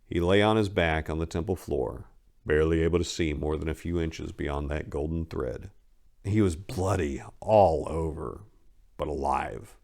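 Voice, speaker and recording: A man narrating a dark horror story as a dramatic reading, with theatrical, intense and deliberate phrasing. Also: very deep voice, vocal fry.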